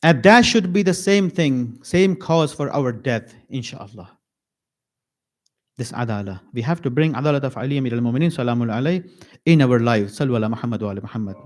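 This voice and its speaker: Guy giving a sermon at an islamic center, slow and deliberate, keeps repeating lines for weight. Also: mid-range voice, expressive, a little mellow. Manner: slow, emphatic, repeats key phrases with pauses. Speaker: sheikh giving a mourning sermon.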